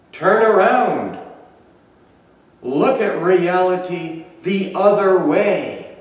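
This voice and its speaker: A man in his sixties, a pastor giving a measured, emphatic sermon with deliberate pauses, filmed by a camera across a small church sanctuary. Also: a little high. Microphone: far camera. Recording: echoey church from far off.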